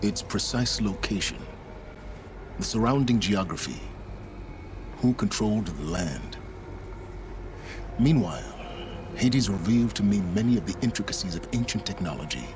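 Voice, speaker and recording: An actor playing a learned, calculating older man in a video game, speaking in a measured, self-assured and deliberate way. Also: lowish voice, animated, noisy background, lightly acted. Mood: absorbed recollection. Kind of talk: dramatic video game acting. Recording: music behind the voice.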